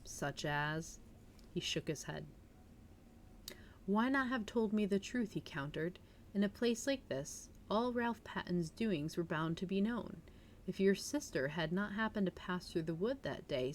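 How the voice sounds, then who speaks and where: A woman's voice: mid-range voice, expressive, measured pace, a little hiss or hum. A woman hosting a livestream, chatting informally and reading a story aloud in a giggly, chatty way. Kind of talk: casual livestream chat and audiobook-style reading.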